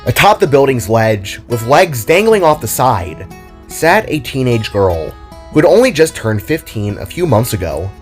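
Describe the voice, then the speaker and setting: A man narrating a scripted audio drama story in a deliberate, expressive storytelling manner. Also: medium pitch. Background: solo acoustic guitar music.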